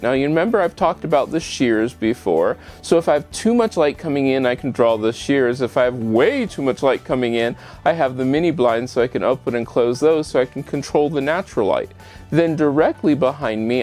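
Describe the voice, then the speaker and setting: A man's voice: mid-range voice, darkish tone. A man hosting a casual how-to home vlog, chatting straight to the viewer and posing rhetorical questions. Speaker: home show host. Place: bedroom at home.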